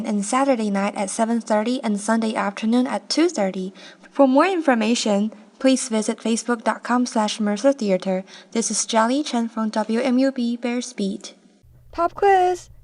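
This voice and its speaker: A woman's voice: fairly high pitch, a little mellow. A young woman working as a student TV reporter, delivering campus news in narration and stand-ups. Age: young adult.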